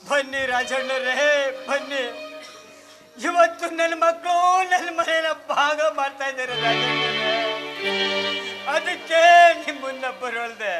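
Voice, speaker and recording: A man's voice: very high voice, measured pace, loud music behind the voice. An actor playing a grieving older father who pleads melodramatically as his family splits apart. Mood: weary. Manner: anguished, pleading melodramatic delivery. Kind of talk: Kannada film drama acting.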